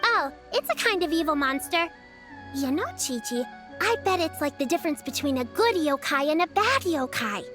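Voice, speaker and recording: A woman's voice: sits high, normal speed, soft background music. A woman voicing a tiny, cheerful, childlike creature sidekick in a video game, chirpy and eager. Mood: excited, admiring. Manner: chirpy, excitable. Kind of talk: video game character dialogue.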